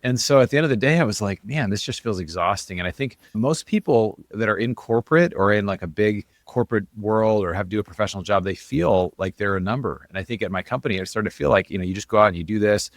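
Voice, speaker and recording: A man's voice: on the low side, fast talker, hardly any pauses, no room sound, studio quality. A man speaking as an interview guest, telling his story in a casual, chatty, conversational way. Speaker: interview guest, investor and author.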